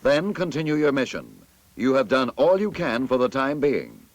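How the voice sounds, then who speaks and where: American male narrator for vintage military training film: authoritative, clearly enunciated, mid-pitched with lively intonation. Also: moderate pace, muffled recording.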